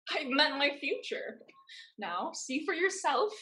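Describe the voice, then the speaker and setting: Bold, headstrong young woman, high and animated acted. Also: slow, heavily acted. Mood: giddy. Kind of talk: staged play reading.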